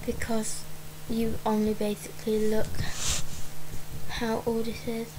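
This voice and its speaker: Young woman, croaky hoarse voice, flat delivery, rambling game chat, noisy home recording. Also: fairly high pitch, slow pace. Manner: rambling, lots of "like" and "um". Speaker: game channel creator. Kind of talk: casual gameplay commentary.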